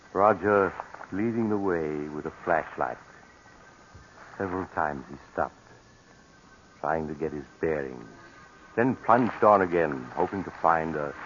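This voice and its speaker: A man playing an ominous storyteller, narrating a 1940s radio suspense tale in a measured, dramatic way. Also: rumbling low, a bit bright, exaggerated voice. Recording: muffled, noisy old radio recording.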